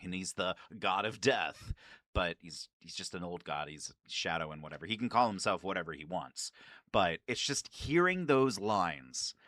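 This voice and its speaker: A man hosting a casual, rambling fan video in an excitable, self-deprecating way. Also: deep voice, dry recording, studio quality. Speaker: amateur voice-acting enthusiast, video host.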